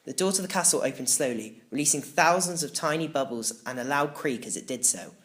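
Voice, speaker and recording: A man reading a children's audiobook in the first person, with expressive, emphatic scripted storytelling. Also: on the high side, a little fast. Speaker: audiobook narrator.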